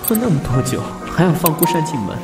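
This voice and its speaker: A man's voice: a little high, very animated, fairly quick, rough recording. A man acting in an audio drama as a weary, conflicted young man, restrained and introspective. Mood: self-reproachful. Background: background music.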